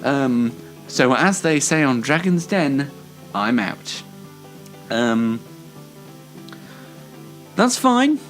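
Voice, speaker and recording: A man's voice: mid-range voice, big pitch swings, extremely slow, pauses often, faint music behind. A man hosting an online variety show in a chatty, playfully theatrical, mock-dramatic way. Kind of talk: chatty scripted-style presenting with ad-libs.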